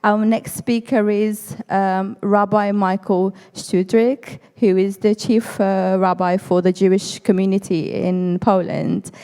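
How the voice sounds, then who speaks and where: A woman moderating a panel discussion, speaking warmly but haltingly with many fillers. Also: medium pitch, level tone, clean tone, in a large hall.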